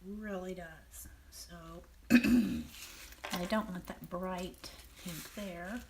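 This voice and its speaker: A woman with a Southern American accent and a raspy, half-whispered voice, calmly talking through a painting demonstration and often clearing her throat. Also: fairly low pitch, a little hiss or hum. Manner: unhurried, thinking aloud while painting, frequent throat clearing. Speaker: painting teacher.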